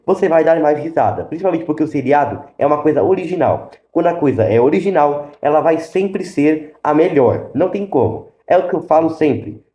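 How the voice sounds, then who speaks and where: A man's voice: on the high side, mellow, dull audio. A Brazilian man hosting a casual review video, explaining his opinions directly to viewers. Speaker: YouTube host. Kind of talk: casual review commentary to camera. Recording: roomy, echoey sound.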